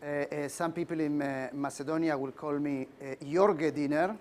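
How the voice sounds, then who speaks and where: A middle-aged man from Argentina with an Argentine Spanish accent, a native Spanish speaker, giving an emphatic leadership lecture with frequent "uh" pauses. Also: a little high, fairly even intonation, a little hiss or hum. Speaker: guest speaker. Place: lecture room.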